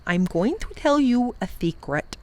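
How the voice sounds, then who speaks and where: A woman voicing a gentle old male Wangdoodle king who speaks in an earnest, confiding way in a storybook reading. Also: fairly high pitch, very animated, a little slow, pitched above the performer's own voice.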